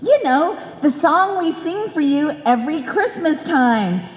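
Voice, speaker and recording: A woman playing an excitable little neighborhood kid in a live old-time radio comedy, with gushing, childlike talk. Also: high-pitched, a little slow, pitched below the performer's own voice. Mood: excited. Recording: echoey hall, live stage performance.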